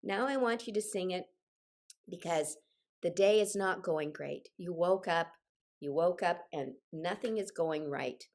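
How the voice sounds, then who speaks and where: Unhurried older woman getting over a cold. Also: on the low side, a little mellow. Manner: encouraging, directive. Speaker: choir leader and singing instructor. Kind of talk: instructional group singing session with personal asides. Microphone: video call.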